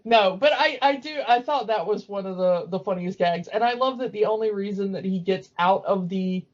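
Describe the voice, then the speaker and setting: A woman's voice: mid-range voice, normal speed, muffled recording. A woman co-hosting a podcast, recapping a cartoon in casual chat with rambling, amused storytelling. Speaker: podcast co-host.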